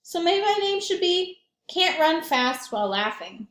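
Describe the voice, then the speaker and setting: Woman reading as a high-voiced, spirited storybook boy. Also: big pitch swings, a little slow, fairly bright tone, exaggerated voice. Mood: proud, eager. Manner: earnest, emphatic storybook narration. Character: spirited young boy longing for his own name. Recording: echoey room.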